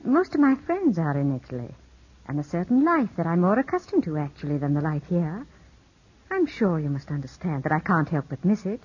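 An actress playing a poised, soothing widowed cousin who coaxes gently, in 1950s radio drama style on an old broadcast recording. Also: female voice, fairly low pitch, sing-song, brisk, slightly rough. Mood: gently firm. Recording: muffled 1950s radio broadcast, music behind.

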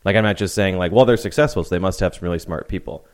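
A man's voice: deep voice, rapid-fire, a little mellow. A man co-hosting a sports podcast, explaining his views at length in casual chat. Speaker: podcast co-host.

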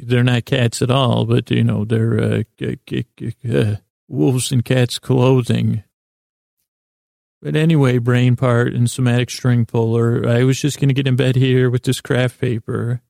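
A man hosting a podcast with a rambling, improvised bedtime comedy monologue, halting and stammering as he wanders off into digressions. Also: medium pitch, vocal fry, darkish tone, no room sound, clean studio sound. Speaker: podcast host.

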